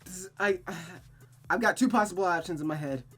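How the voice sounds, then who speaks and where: A woman's voice: lowish voice, a little fast, slightly rough. A woman streaming a visual novel, moving between story narration and casual commentary. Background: music.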